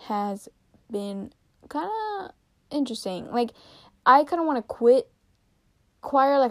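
A young woman in her teens hosting a casual solo podcast, rambling with lots of fillers and giggles, her voice a little raspy and tired. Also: a little high, darkish tone, no room sound. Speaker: podcast host.

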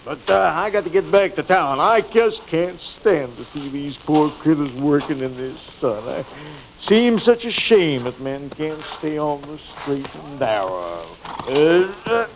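A man's voice: a little high, big pitch swings, measured pace, gravelly, heavily acted. An actor playing a smug overseer feigning pity, drawing out his words, in an old-time radio western. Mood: smug, mock pity. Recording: muffled, noisy old radio recording.

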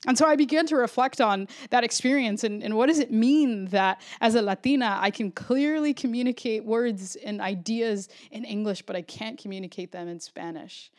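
A woman pastor delivers a sermon with an earnest, reflective tone. Her voice sits a little on the high side. She often circles back and restarts her phrases as she works through an idea. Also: moderate pace, hardly any pauses, darkish tone. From Florida, US.

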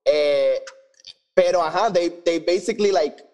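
Male co-host, fairly high voice, Puerto Rican accent, informal bilingual chatter. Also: a little slow, a little mellow. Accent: Puerto Rican Spanish. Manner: code-switches between Spanish and English. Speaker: podcast co-host. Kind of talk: casual chat.

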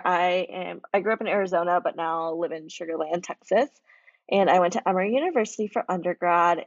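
Woman moderator, relaxed and filler-heavy; lo-fi, dry video-call sound. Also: fairly low pitch, dry recording. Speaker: forum moderator, medical graduate. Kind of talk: casual panel moderation. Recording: dull lo-fi audio, dry.

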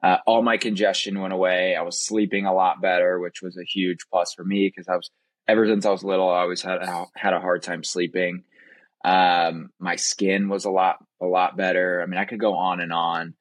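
Low-pitched young man chatting casually, dry sound. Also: little pitch movement, moderate pace, no echo. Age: young adult. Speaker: podcast guest. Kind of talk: casual conversational personal storytelling.

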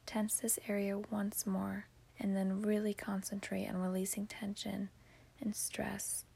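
Female meditation guide: breathy whisper, very slow pacing, hushed and soothing, for a sleep podcast. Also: medium pitch, completely flat delivery. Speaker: podcast host.

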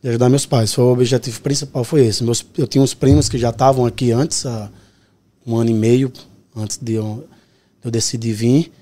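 Podcast guest, 30s male, Pernambuco accent, mellow and earnest. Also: mid-range voice, fairly even intonation, brisk. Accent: Pernambuco Brazilian Portuguese. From Pernambuco, Brazil. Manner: earnest, explains his story step by step. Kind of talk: casual podcast interview.

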